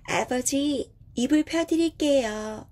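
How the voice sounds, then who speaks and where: A woman as a high girlish young daughter. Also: takes it slowly, darkish tone. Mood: sweet, eager. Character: sweet, dutiful young daughter of village father.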